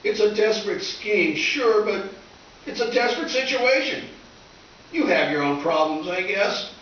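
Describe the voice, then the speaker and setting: A man's voice: sits high, lively intonation, unhurried, fairly bright tone, highs cut off. A man playing a scheming, melodramatic teenage schoolboy with slangy, whiny delivery in old-time radio comedy style. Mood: wounded guilt-tripping. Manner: whiny, exclamatory, theatrical pleading. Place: live stage performance in a reverberant room. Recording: echoey distant stage recording with hiss.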